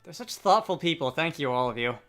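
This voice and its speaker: A man voicing a polite, thoughtful young princess from a game, with formal, gentle phrasing. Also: on the high side, animated, a little fast, soft background music.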